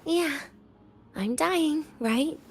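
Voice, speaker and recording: A woman voice acting a weary, teasing, guarded and cynical young woman patient in a video game, with a breathy, languid delivery that slips into near-whispers. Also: sits high, extremely slow, a little hiss or hum, full character voice. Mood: resigned, bitter. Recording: music behind the voice. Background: background music.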